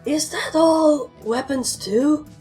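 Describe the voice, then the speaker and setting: Woman voicing high, brash young pegasus pony. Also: slow, warm tone, soft background music. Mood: puzzled. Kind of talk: fan fiction character voice.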